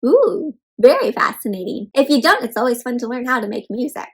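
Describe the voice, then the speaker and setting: A woman storyteller guides children through a picture book. Her voice is high and highly animated in pitch. She keeps checking in with questions and leaves gaps for young listeners to answer. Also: husky. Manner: asks listeners questions, pauses, addresses children as friends. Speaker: read-aloud storyteller and teacher. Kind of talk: interactive children's read-aloud.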